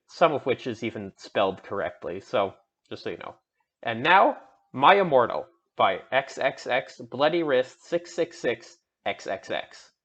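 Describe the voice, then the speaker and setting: A man's voice: mid-range voice, a bit bright, muffled recording. A young man giving a theatrical introduction with a mock-serious, emphatic and careful delivery. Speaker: reader, game master. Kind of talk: theatrical dramatic reading.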